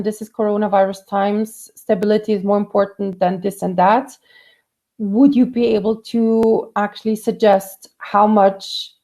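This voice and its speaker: Casting note: woman, career coach, warm mellow tone, chatty and loose with "um"s and restarts. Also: medium pitch. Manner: conversational, frequent fillers and restarts. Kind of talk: live Q&A career advice.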